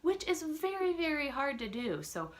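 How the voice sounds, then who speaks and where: A woman's voice: high voice, sing-song, normal speed. A woman reading a children's story aloud with dramatic emphasis, adding casual comments for young listeners. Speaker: teacher reading a picture book aloud.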